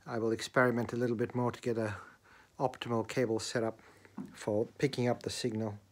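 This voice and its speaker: A man giving a casual, deliberate hands-on explanation as a radio hobbyist, recorded indoors on a handheld camera. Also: medium pitch, flat delivery. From Australia. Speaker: hobbyist radio enthusiast demonstrating a homemade antenna. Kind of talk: casual video demonstration. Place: indoors in a bedroom. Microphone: handheld camera, held by the speaker.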